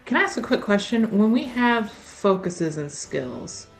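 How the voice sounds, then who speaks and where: A woman's voice: medium pitch, big pitch swings, unhurried, vocal fry, faint music behind, rough recording. A woman chatting casually as a player in a tabletop roleplaying game.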